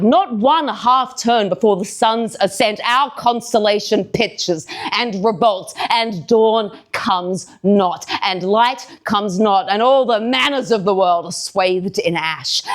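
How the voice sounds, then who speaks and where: A woman playing a brooding, strong-willed young male villain in a deliberate, declamatory verse monologue on stage. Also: mid-range voice, pitched above the performer's own voice, natural voice. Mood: anguished, defiant. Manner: declamatory, deliberate, weighty pauses. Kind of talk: theatrical Shakespearean verse monologue.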